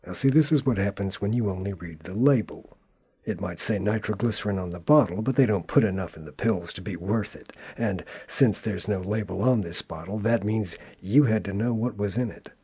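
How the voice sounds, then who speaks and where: Man playing a private investigator, deep raspy voice, sardonic first-person narration, noir radio drama, world-weary. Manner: sardonic, deadpan. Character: wry, world-weary male hardboiled private detective. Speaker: narrator and lead character.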